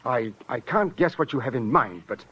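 An actor playing an earnest, self-important rich fiancé, polite and precise, in a 1940s-style radio drama. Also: male voice, mid-range voice, lively intonation, moderate pace, bright, forceful tone, slightly rough. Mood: anxious, earnest. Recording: dull, faintly noisy old radio recording.

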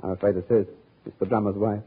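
An American actor playing a bashful, odd, lovesick suitor in a 1940s radio comedy. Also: male voice, on the low side, flat delivery, fast talker, lightly acted. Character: bashful, odd, lovesick suitor full of silly non sequiturs. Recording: noisy old radio, phone-like, audience behind.

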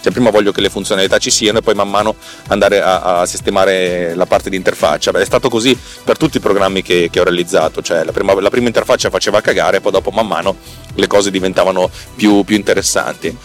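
A man with a fairly low voice talks through technical matters as a podcast host. His delivery is colloquial and rambling, doubling back on words for emphasis in a self-deprecating way. Faint music sits behind his voice. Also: steady tone, quick pace. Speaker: podcast host and software developer. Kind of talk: casual solo podcast monologue, technical explanation.

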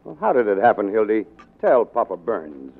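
An actor playing a tyrannical, scheming newspaper managing editor in a sarcastic, bossy 1940s radio drama style. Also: male voice, fairly low pitch, sing-song, vocal fry, exaggerated voice. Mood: mock sympathy. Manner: sarcastic, bossy, fast-switching from barking to wheedling. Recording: muffled narrow-band 1940s radio recording.

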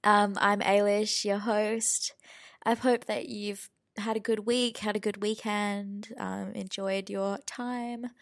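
A woman's voice: mid-range voice, level tone, slow pace, dry recording, studio quality. A woman in her twenties hosting a podcast in casual, rambling chat, sounding a little nasal from a head cold. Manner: chatty, rambling, many fillers. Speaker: podcast host.

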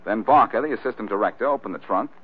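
An actor playing a cool, sardonic amateur sleuth with teasing dry wit in old-time radio detective style. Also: male voice, lowish voice, quick pace, slightly rough. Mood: wry, reasoning. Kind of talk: 1940s radio detective acting. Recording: noisy, tinny old-time radio recording.

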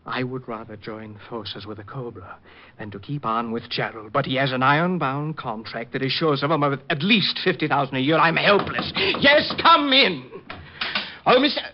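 A man's voice: on the high side, animated, normal speed, a little hiss or hum, close to an everyday voice. A man playing a catty, fussy hat designer. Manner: theatrical, snippy. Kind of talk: 1950s radio acting. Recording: dull lo-fi old radio broadcast.

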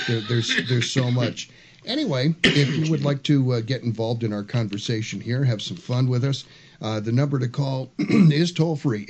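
A man hosting a radio show in a studio, casual and halting, with many fillers. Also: medium pitch, highs cut off.

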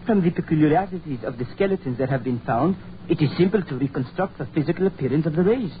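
Male actor as proud, bookish Frenchman for 1940s radio chiller; French accent, lightish pitch, narrow phone-like sound. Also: lively intonation, fast talker, natural voice. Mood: earnest, learned. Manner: formal, eloquent, dramatic. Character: erudite, proud Frenchman driving foreign travellers, moodily eloquent. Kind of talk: 1940s radio horror acting. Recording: muffled, noisy 1940s radio recording.

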